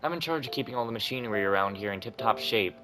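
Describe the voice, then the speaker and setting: A man's voice: mid-range voice, animated, very fast, music in the background, lightly acted. A man voicing a brash, grumbling young woman mechanic in a video game, bossy and breezy. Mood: breezy, proud.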